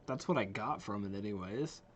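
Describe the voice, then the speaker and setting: A man's voice: on the low side, animated, fairly quick, slight background noise. A young man giving casual game reaction commentary, informal and exclamatory as he muses aloud. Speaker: video game reaction host.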